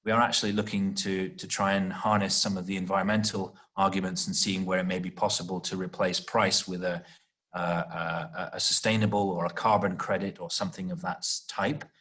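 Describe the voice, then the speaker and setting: A man answering as an executive guest in an interview, explaining off the cuff with halting, repeated words as he searches for phrasing. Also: low voice, little pitch movement, fairly bright tone. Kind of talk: panel interview, extemporaneous explanation.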